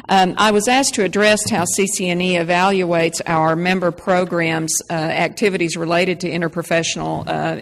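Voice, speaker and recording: A woman with a Texan accent giving a folksy, upbeat conference talk with frequent fillers. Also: fairly low pitch. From Texas. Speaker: conference presenter. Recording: reverberant hall with faint noise.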